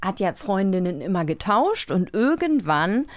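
A woman's voice: medium pitch, sing-song, moderate pace. A woman co-hosting a podcast in a casual, teasing, conversational way. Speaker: podcast co-host. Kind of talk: casual chat.

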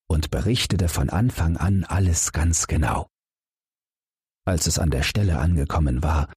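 Deep husky male storyteller. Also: no echo, studio quality. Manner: calm, expressive storytelling. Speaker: audiobook narrator. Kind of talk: bedtime fairy tale reading.

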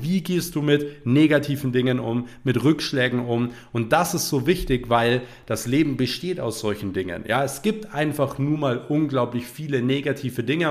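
Earnest man with a cold, motivational talk. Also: mid-range voice. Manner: earnest, repetitive, frequent "ja" fillers. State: has a cold. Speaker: podcast host. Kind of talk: motivational podcast monologue.

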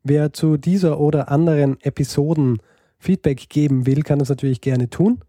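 A man's voice: fairly high pitch, moderate pace, dark tone, dry recording, clean studio sound. A man co-hosting a casual podcast chat, talking hesitantly with many fillers and restarts. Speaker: podcast co-host.

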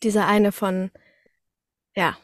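A woman's voice: mid-range voice, steady tone, slow, no echo, studio quality. A young woman chatting casually as an interview guest, telling her story in an enthusiastic, colloquial way. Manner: enthusiastic, colloquial, acts out quoted exclamations. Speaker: interview guest, studio voice artist.

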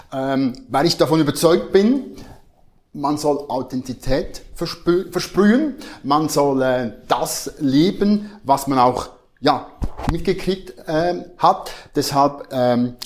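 A man in his forties with a Swiss German accent, a podcast guest who talks earnestly with hesitations and self-corrections. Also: on the high side. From Switzerland. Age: 40s. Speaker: guest, marketing head. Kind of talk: podcast interview.

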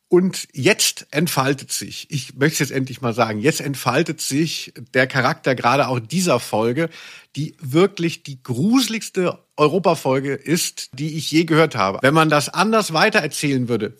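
A man co-hosting a podcast, musing casually and wryly with deliberate emphasis. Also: fairly high pitch, fairly bright tone, husky. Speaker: podcast co-host. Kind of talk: casual review chat.

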